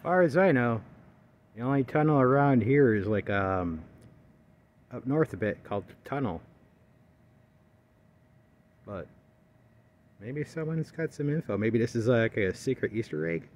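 A man's voice: mid-range voice, expressive, unhurried, pauses often, a little mellow. A man casually narrating as he hikes an abandoned rail line, rambling with lots of fillers, recorded outdoors on a handheld phone. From not local to the area. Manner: rambling, chatty, hesitant with fillers. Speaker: railfan vlogger. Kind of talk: casual walking commentary.

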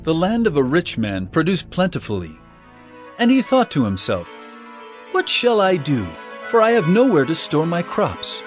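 Earnest male teacher of parables, audio Bible drama. Measured, declamatory, very expressive, faint music underneath. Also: a little high. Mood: earnest teaching.

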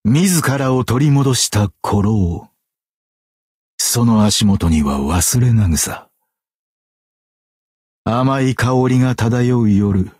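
An actor voicing a suave, poetic masked fox phantom thief in a video game, with flowery, courtly, dramatic phrasing. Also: male voice, medium pitch, animated, slow, long pauses, darkish tone, husky, no room sound, clean studio sound. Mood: poetic, brooding. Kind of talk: stylized Japanese game character voice acting.

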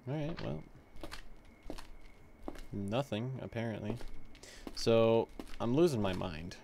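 A man's voice: mid-range voice, expressive, slow, creaky, background noise. A man giving casual commentary on a game he is playing, rambling as he thinks aloud. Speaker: gaming video host. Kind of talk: casual playthrough commentary mixed with reading game text aloud.

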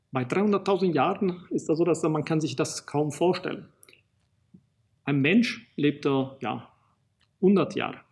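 Middle-aged man, Italian accent, slightly raised pitch and husky tone, halting lecture full of fillers, desk mic. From Italy. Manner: halting, many fillers and restarts. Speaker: archaeologist lecturer. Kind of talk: recorded public lecture. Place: small studio in front of a banner.

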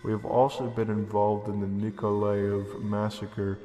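Young guy narrating a creepy story totally deadpan, flat voice, big echoey reverb, music behind. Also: fairly low pitch, measured pace. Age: young adult. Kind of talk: deadpan reading of a comedic horror story.

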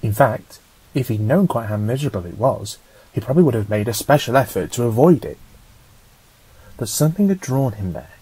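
A man reading a scripted story as an audiobook narrator, in a measured, dramatic storytelling manner. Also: medium pitch, sing-song, dark tone, husky, dry recording, low-quality recording.

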